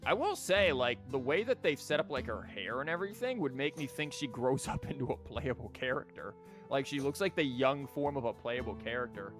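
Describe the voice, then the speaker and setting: A man's voice: mid-range voice, very animated, brisk. Sarcastic, foul-mouthed young man streaming. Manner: profane, sarcastic joking at the game. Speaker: streamer playing a story game. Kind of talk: live gameplay commentary. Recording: faint game music behind.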